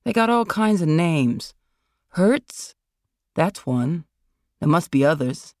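A reader doing a gruff, mumbling, bossy older man. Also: fairly high pitch, expressive, takes it slowly, a little mellow, dry recording, clean studio sound. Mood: rambling, reasoning. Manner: angry but controlled mumble. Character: heavy older male film legend, bossy, gruff, irritable.

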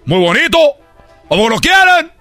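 A man's voice: very high voice, big pitch swings, normal speed, sharp tone, faint music behind, heavily acted. A man playing a boastful Cuban baseball player with a Cuban accent in a comedic radio sketch, rambling and tripping over his words. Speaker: comedy character on a radio show.

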